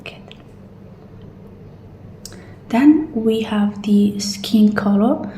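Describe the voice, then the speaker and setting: Female ASMR roleplayer, soft and mellow, slipping into whispers, gently guiding, in a slightly echoey room. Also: medium pitch, extremely slow. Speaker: ASMR roleplay performer as a clinic assistant. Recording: roomy echo, faint background noise.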